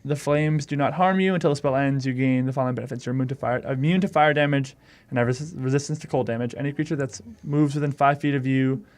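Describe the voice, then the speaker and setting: A man's voice: mid-range voice, fast talker, few pauses. A man chatting casually as a player in a tabletop role-playing game.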